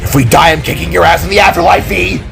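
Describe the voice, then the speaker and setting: A man acts out a gruff, flustered father leading his group in an audiobook adventure. He speaks in urgent exclamations, with music underneath. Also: on the high side, fast, a bit bright, voice pushed up. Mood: shouting, exasperated. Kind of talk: dramatic audiobook character acting.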